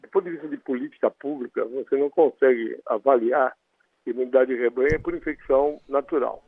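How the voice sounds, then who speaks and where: A man with a Brazilian Portuguese accent, an infectious disease doctor calmly explaining in a radio interview by phone. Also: medium pitch, a little fast. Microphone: telephone. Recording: tinny phone line.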